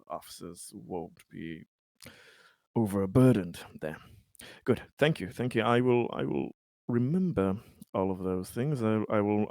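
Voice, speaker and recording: A man's voice: low-pitched, darkish tone, clear, resonant tone, no room sound, studio quality. A man improvising in-character roleplay dialogue, speaking formally and hesitantly and often repeating phrases. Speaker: tabletop roleplayer.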